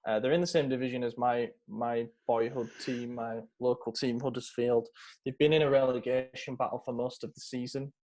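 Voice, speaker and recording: A man's voice: medium pitch, fairly even intonation, normal speed, darkish tone. Chatty man. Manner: chatty, rambling with many fillers. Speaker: podcast host. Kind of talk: casual football podcast chat.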